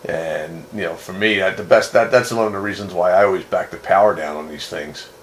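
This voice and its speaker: A man in casual on-air radio chat, speaking haltingly and repeating phrases, heard over a two-way radio. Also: low-pitched. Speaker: amateur radio net operator. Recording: noisy radio transmission with static.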